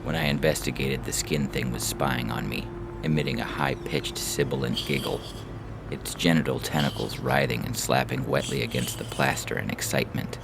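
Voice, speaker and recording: A man giving a solemn, ominous literary reading of a surreal story in a deliberate manner. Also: a bass voice, steady tone, creaky, background noise. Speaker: story reader. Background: loud music.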